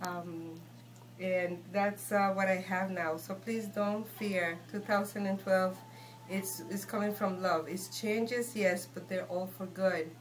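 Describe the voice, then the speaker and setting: A woman from Guatemala with a Guatemalan Spanish accent, giving an informal spiritual talk in an earnest, reassuring, halting manner. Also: medium pitch, steady tone, rough recording. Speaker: shaman and healer, guest. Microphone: phone handed over.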